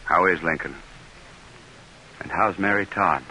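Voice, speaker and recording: An American actor playing a proud, shrewd middle-aged senator in an old-time radio drama. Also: male voice, deep voice, unhurried, sharp tone, slight background noise, exaggerated voice, poor audio. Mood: affable, assured. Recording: vintage radio broadcast recording.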